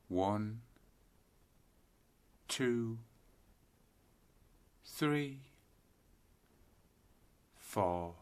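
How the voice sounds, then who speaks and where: Very slow, low-voiced male meditation guide. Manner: calm, deliberate, instructional, with spaced-out short phrases. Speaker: yoga nidra meditation guide.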